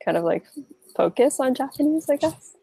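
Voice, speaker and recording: A woman's voice: high voice, big pitch swings, moderate pace, creaky, dark tone, slightly rough, no echo. A young woman from Hawaii answering interview questions in a loose, rambling way, full of fillers and restarts. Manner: rambling, many likes and restarts. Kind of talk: casual interview.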